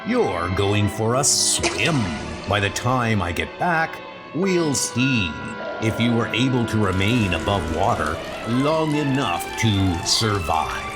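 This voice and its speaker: A man voicing a menacing supervillain in a comic book dub, gloating in a slow, deliberate way. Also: on the low side, very animated, over loud music. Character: menacing male villain, weapons engineer in porcupine suit.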